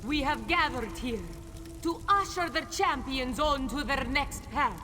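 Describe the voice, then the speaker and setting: A woman voicing a solemn cat-folk priestess leading sacred rites in a fantasy video game, with measured ceremonial delivery. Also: high-pitched, expressive, pushed and bright, faint music behind, full character voice. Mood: ceremonial proclaiming.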